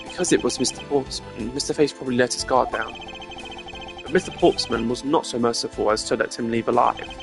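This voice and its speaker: A man voicing a composed, formal male prosecutor in a game, laying out his case in measured, deliberate speech. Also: mid-range voice, fairly even intonation, vocal fry, close to an everyday voice. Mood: assured, explaining. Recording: music behind the voice.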